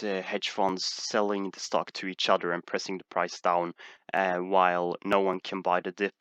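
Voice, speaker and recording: A man with a Swedish accent talks in a low, forceful voice, hesitating often and restarting his sentences. The sound is dry and close. He answers questions in a casual livestream. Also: dry recording. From Sweden. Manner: hesitant, many "uh" fillers and restarts. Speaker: stock market streamer.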